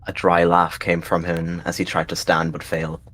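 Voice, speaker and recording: A man's voice: low voice, completely flat delivery, moderate pace, a bit bright. A man narrating a dramatic roleplay story as a storyteller.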